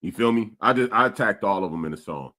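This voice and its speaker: A man's voice: lowish voice, animated, quick pace, bright, forceful tone. A man in his forties from Stockton, California, telling street stories and reading chat comments on a casual podcast. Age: 40s. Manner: streetwise, storytelling, repeats himself; reads live chat comments aloud. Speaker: podcast co-host.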